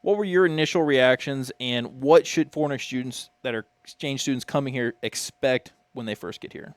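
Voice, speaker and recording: A man's voice: mid-range voice, moderate pace, no echo. A man hosting an interview for a school TV studio, asking guests questions. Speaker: interviewer and host. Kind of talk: student TV interview.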